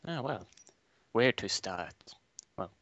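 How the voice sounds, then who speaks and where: Teen guy from wales, short dry answers, bright voice, dull lo-fi headset audio. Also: lowish voice, animated, slow pace, no echo. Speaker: clan member, guest. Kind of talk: casual chat.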